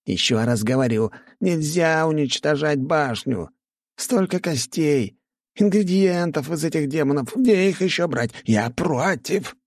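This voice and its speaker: A man playing an excitable, obsessive artificer in an audiobook, with a strained, raspy and agitated delivery. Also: fairly high pitch, measured pace, no room sound, pitched above the performer's own voice. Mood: agitated, fervent. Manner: agitated, emphatic. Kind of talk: audiobook character acting.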